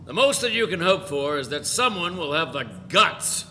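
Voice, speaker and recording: A man reading the part of a gloomy, theatrical older male artist, making a slow, dark pronouncement. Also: a little high, animated. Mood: grim. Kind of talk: live dramatic reading. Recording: reverberant live room, faint noise.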